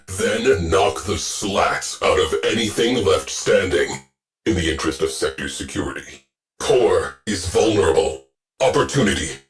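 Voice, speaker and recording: A deep, clipped ship AI. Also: male voice. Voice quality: clipped, deadpan military delivery. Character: stern male ship AI officer, terse, dry. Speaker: ship's AI officer. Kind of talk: video game voice lines.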